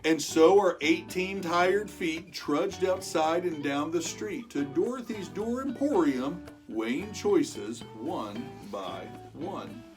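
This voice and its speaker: A storyteller reading a rhyming picture book aloud to children in an expressive way, stretching words for emphasis and chatting with young listeners. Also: mid-range voice, takes it slowly, few pauses, soft background music. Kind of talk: animated children's read-aloud of rhyming verse.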